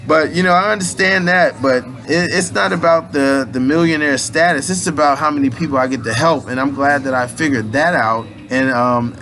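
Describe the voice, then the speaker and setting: A man's voice: fairly high pitch, normal speed, few pauses, sharp tone, slight background noise. Rambling slangy man in his late thirties. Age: 30s. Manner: loose, repetitive, slangy street talk with frequent restarts. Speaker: aspiring minister and motivational speaker. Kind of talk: rambling casual spiritual monologue.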